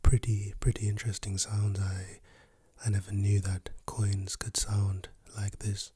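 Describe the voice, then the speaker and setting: A man with a lost, hoarse voice, speaking softly and whispering in a playful, teasing way as an ASMR creator. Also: fairly low pitch, little pitch movement, unhurried, dry recording. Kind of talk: soft-spoken and whispered ASMR.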